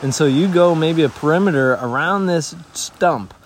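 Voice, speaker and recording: A man raised in Tanzania speaks as a missionary, earnest and story-driven, often restarting his sentences. His voice sits a little high for a man. Faint outdoor ambience hums behind him. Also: moderate pace, slight background noise. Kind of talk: conversational interview.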